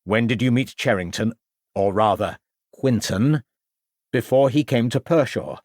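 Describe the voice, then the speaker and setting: A man's voice: mid-range voice, unhurried, a little mellow, dry recording, studio quality, close to an everyday voice. A man voicing a stern, persistent police interrogator who fires off accusing questions. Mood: interrogating. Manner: hectoring questions. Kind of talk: audiobook character voice.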